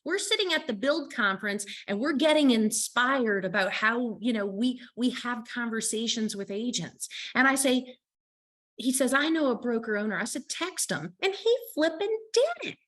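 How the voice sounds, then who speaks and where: A woman co-hosting a live online panel on a video call, enthusiastic and quick to praise a colleague. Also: on the high side, animated, fairly bright tone.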